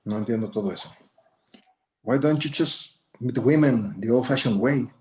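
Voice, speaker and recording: A man's voice: mid-range voice, normal speed, a little mellow, natural voice. A man playing a proud, evasive old rancher father in a screenplay table read, hesitant and slipping between Spanish and English. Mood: dry, questioning. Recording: roomy, echoey home recording.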